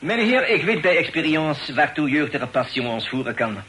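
An actor playing a courteous foreign landlord in a radio play, using French loanwords and a formal, inverted word order. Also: male voice, a little high, sing-song, normal speed, sharp tone. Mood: calm, mediating. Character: courteous foreign landlord speaking affected, French-laced Dutch. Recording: noisy old radio drama recording.

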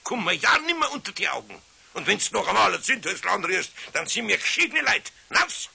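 An actor playing a strict, grumbling older master carpenter with a Bavarian accent and rough voice in a children's radio play. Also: male voice, high voice, very animated, brisk, pushed and bright, heavily acted. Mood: angry scolding.

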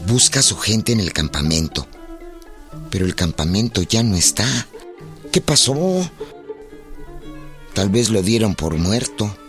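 A man delivering dramatic scripted historical narration for a radio play. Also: on the low side.

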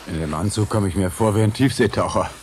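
An actor playing a dry, level-headed retiree in a science fiction radio play. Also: male voice, fairly low pitch, fast talker, noisy background, lightly acted. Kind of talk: German radio play acting. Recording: music playing behind.

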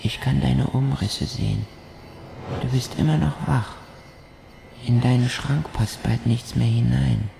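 An actor playing an intense, self-absorbed male musician and inventor in a radio play, speaking in a hushed, solemn, incantatory way. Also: medium pitch, unhurried, creaky, background noise. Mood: hushed, proud. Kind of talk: German art radio play monologue. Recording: studio radio play under loud music. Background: loud music.

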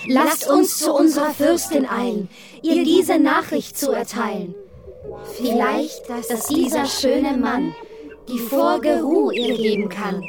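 A proud, smitten lady-in-waiting of warlike bearing in a radio play. She declaims theatrically in a high voice at a slow, stately pace, over loud music. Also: lively intonation. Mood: smitten, lofty. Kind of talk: radio drama verse acting.

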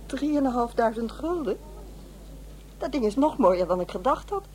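An actress playing a pointed, contrary wife in a Netherlands Dutch radio play. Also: female voice, a little high, expressive, measured pace, darkish tone, slight background noise. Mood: contrary, emphatic.

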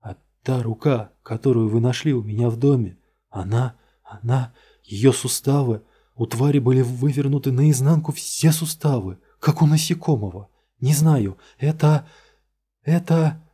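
A man voicing a terrified male murder suspect in a storybook reading, pleading and shouting in a hoarse, cracking voice. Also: medium pitch, a little slow. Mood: frantic.

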